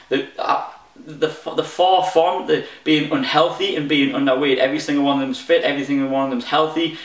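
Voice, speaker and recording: A young man with a thick Geordie accent, coaching a home workout from his front room, talking fast and restarting sentences when he gets excited. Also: a little high. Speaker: fitness coach. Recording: echoey room, voice from far off.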